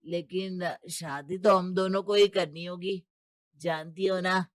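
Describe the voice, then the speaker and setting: A man's voice: high-pitched, steady tone, takes it slowly, dry recording, clean studio sound. A man voicing a self-serving rich young groom in a cartoon moral story, nonchalant and matter-of-fact.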